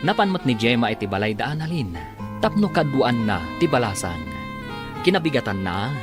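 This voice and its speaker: A man narrating and announcing a radio drama, drawing out titles and credits with emphasis. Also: medium pitch. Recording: radio drama with music underneath.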